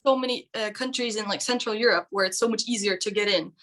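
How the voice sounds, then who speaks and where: A woman's voice: mid-range voice, fast talker, fairly bright tone. A woman from Norway in her twenties, a vet student and guest, chatting casually and laughing often. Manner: laughs often, chatty with many likes and you-knows. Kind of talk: casual chat.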